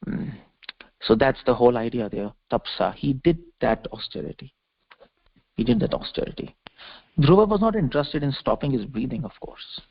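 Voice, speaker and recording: A man's voice: mid-range voice, lively intonation, moderate pace, vocal fry, breathy edge, dry recording. A man giving an extemporaneous scripture lecture as a preacher, explaining didactically and repeating phrases for emphasis, heard over a conference call. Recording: muffled lo-fi conference call audio.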